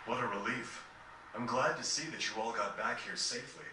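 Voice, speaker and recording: A man voicing a gentle, composed, courteous gentleman in a fantasy video game, measured and formal. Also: mid-range voice, close to an everyday voice. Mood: relieved. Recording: echoey, distant game capture with noise.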